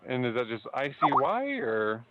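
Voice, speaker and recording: A man working as a customer support agent, talking calmly and methodically with some hesitation on a phone call. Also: mid-range voice, normal speed, heavy background noise. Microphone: telephone line. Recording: muffled lo-fi phone call audio.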